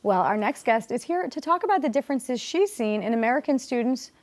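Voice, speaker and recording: Female talk show host, high-ish voice, friendly, chatty interviewer. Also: lively intonation, a little fast.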